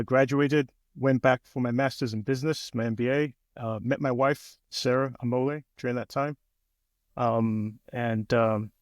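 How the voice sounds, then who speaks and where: A man from Nigeria, in his forties, tells his story as a podcast guest. His voice has a gravelly edge and a middle pitch. He talks in a relaxed, chatty way, recorded close and dry. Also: no echo. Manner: relaxed, chatty, frequent "um" and "you know". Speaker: guest, business owner. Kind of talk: casual interview storytelling.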